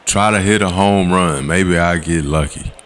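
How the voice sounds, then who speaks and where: A man, giving laid-back voice-over commentary on his gameplay in a slangy, coaching way. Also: fairly bright tone. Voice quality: low voice. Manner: laid-back, coaching, slangy with quick "boom" call-outs. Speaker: gaming channel host. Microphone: voice-over recorded separately from the footage.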